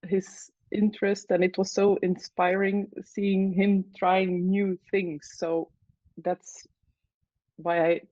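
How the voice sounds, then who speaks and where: A woman from Belgium, an anesthesiologist speaking in a casual interview, hesitant with many fillers and pauses. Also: mid-range voice, little pitch movement, mellow, dry recording, highs cut off. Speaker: anesthesiologist guest.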